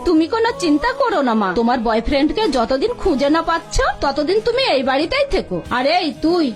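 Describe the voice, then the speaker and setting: A woman's voice: high-pitched, big pitch swings, quick pace, soft background music. An actress playing a caring, take-charge middle-aged mother in a TV drama, with sped-up, raised audio. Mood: motherly. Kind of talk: TV drama acting.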